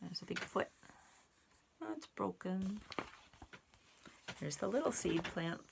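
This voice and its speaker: A woman reading a children's picture book aloud in an expressive, deliberate way for young listeners, with little asides. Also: on the low side, gravelly, background noise. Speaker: storybook reader and sign language teacher.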